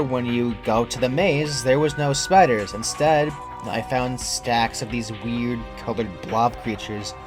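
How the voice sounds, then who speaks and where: A man reading a scripted first-person horror story as its narrator. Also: medium pitch. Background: background music.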